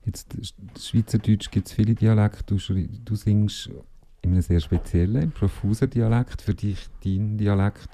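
A man's voice: fairly low pitch, steady tone, measured pace, hardly any pauses, dark tone. A man hosting a radio interview, asking long chatty questions. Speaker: radio host.

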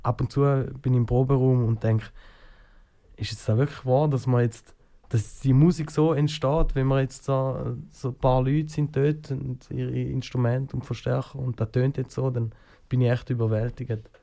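A man's voice: mid-range voice, little pitch movement, normal speed, vocal fry, warm tone. Hesitant Swiss German man, Schaffhausen dialect. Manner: hesitant, many fillers and restarts. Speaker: guest singer. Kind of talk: casual interview answers.

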